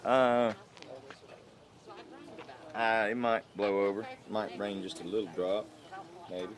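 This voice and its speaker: A man's voice: on the low side, fairly even intonation, slow. A man chatting casually. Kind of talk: casual chat. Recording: party chatter behind.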